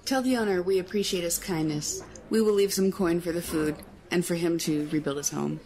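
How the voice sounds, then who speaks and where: A man voicing a young, compassionate but firm and bossy prince with formal, measured phrasing in an audiobook story. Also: high-pitched, a little mellow, pitched above the performer's own voice, poor audio. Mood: resolute. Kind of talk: audiobook character dialogue.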